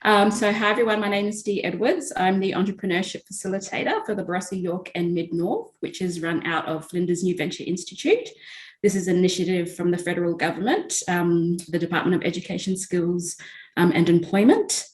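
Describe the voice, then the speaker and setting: A woman's voice: on the low side, fairly even intonation, brisk, reverberant, low-quality recording. A woman guest on a video call, an entrepreneurship facilitator, talking steadily with frequent fillers. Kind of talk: guest telling her story in an interview.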